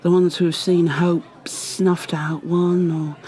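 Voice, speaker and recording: A woman playing a kindly, chatty tavern keeper in an ASMR roleplay, rambling gently with fillers and slipping into whispers. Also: fairly low pitch, flat delivery, slow. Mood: gossipy.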